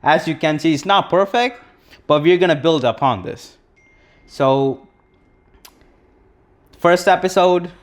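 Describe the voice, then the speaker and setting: A man's voice: on the high side, animated, slow, pauses often. A young man from Sri Lanka co-hosting a live podcast in a casual, rambling way, full of restarts and fillers. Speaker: podcast co-host.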